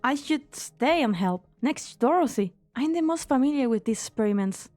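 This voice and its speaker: A woman playing an earnest, anxious young lab researcher with a hesitant, expressive delivery in an English fan dub. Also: high-pitched, normal speed, soft background music. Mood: uneasy.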